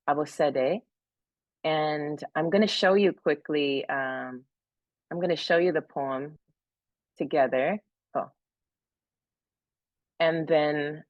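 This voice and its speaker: A woman hosting an online poetry event over a video call, gushing and encouraging, reading poems slowly. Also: deep voice, no room sound, dull audio. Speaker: event host and poet.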